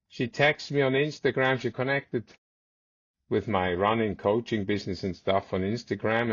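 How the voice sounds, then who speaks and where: A man's voice: fairly low pitch, no room sound, highs cut off. A man in his forties, raised in South Africa and a native Swiss German speaker, telling stories as a podcast guest, rambling with many restarts. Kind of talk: casual storytelling.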